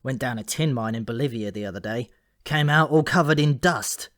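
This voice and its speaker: A man's voice: medium pitch, brisk, a bit bright, no echo, studio quality, lightly acted. A man voicing an earnest, slightly wounded male raven with a defensive, chatty manner, in a comic fantasy audiobook.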